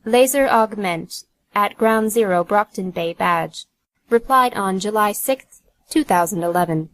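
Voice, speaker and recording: A woman's voice: medium pitch, measured pace, fairly bright tone, no echo, low-quality recording. A woman narrating an audiobook from a script in a neutral, announcing manner.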